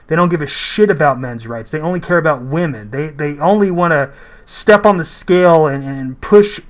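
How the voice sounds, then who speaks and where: Argumentative man with a slightly high voice. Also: a bit bright. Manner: argumentative, blunt, swearing. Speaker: commentator reacting to a video. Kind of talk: casual off-the-cuff reaction commentary.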